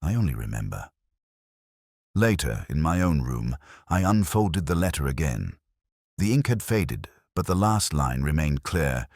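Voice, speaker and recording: A man with an extremely low voice narrates a detective drama in a measured, brooding way. His pitch rises and falls expressively from line to line, and the sound is dry and close. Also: very animated, a little mellow, no room sound. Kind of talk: first-person scripted detective drama narration.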